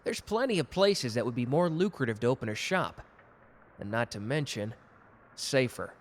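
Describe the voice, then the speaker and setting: A man's voice: medium pitch, lively intonation, normal speed, a little hiss or hum, natural voice. A man playing a cocky, gallant and protective customer who flirts teasingly in a romantic roleplay audio drama. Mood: teasing, friendly. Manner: suave, teasing, intimate one-sided conversation.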